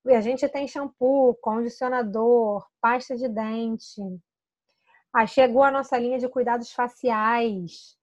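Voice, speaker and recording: A woman's voice: on the high side, dry recording, lo-fi sound. A Brazilian woman consultant explaining how to use products, chatty and persuasive, often adding tag questions and restarting sentences. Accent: Brazilian Portuguese. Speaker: essential oils consultant teaching product use. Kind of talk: informal explanatory talk to camera.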